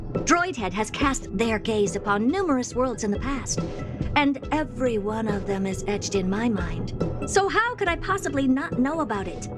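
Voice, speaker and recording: Imperious young female scientist: high, dismissive, exasperated game dialogue over clear music. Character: haughty, impatient young woman genius scientist. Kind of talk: video game voice acting.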